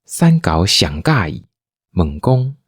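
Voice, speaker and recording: A man's voice: low-pitched, big pitch swings, slow pace, husky, dry recording, clean studio sound. A man with a Taiwanese accent narrating a scripted audio drama as its storyteller.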